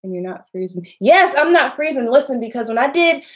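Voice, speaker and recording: A woman's voice: a little high, expressive, a little fast. Woman, Southern American accent, congested, slightly nasal, chatty livestream talk, emphatic, laughs often. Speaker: makeup artist livestreaming to viewers. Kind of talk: casual livestream chat.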